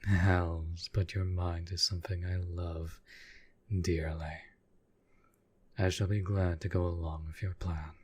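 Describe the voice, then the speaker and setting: A man playing a devoted, lovestruck demon bound to a summoner, husky and breathy, speaking in formal, courtly phrases in an intimate audio roleplay. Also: deep voice, little pitch movement, slow, a little mellow, heavily acted. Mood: tender.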